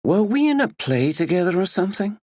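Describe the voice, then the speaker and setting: A vain, perky actress with an American drawl, all breezy dismissal, as a male narrator puts her on. Her voice sits well above his own and swings about lively. The sound is close and dry. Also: high-pitched, a little fast, no echo, pitched above the performer's own voice.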